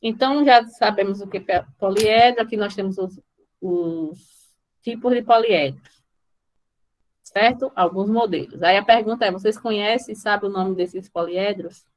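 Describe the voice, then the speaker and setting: A woman's voice: mid-range voice, normal speed, highs cut off. A woman with a Brazilian accent teaching informally in a live online class, often stopping to question and check on her students. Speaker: mathematics teacher.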